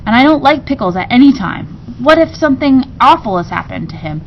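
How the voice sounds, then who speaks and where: Woman playing a plaintive little sister, higher-pitched. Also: sing-song, fairly quick. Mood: worried, pointed. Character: little sister, picky, plaintive and questioning child. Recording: muffled recording with rumbling noise.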